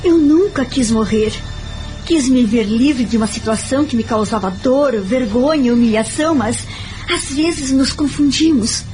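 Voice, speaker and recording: An actress with a Brazilian accent playing a gentle, reflective woman friend in an audio drama, speaking earnestly and explaining. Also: high-pitched, measured pace, low-quality recording. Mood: grave, earnest. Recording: music behind the voice.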